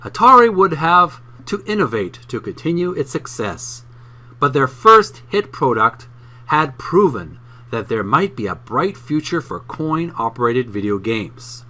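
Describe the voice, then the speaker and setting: A man reading a book aloud for his video channel in an emphatic, deliberate way, occasionally stumbling over words. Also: on the high side, very animated, bright, forceful tone.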